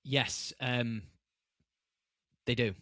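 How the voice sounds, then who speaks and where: A man's voice: medium pitch, steady tone, very slow, a bit bright, no echo, studio quality. A man hosting a podcast interview, talking casually with many fillers and restarts. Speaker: podcast host.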